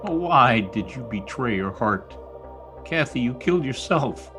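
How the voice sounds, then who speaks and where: Male actor as anguished bitter lover, theatrical radio drama delivery, highly animated intonation, music underneath. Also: a little slow, close to an everyday voice. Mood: bitter, reproachful. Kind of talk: old-time radio drama acting. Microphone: recorded remotely over video call.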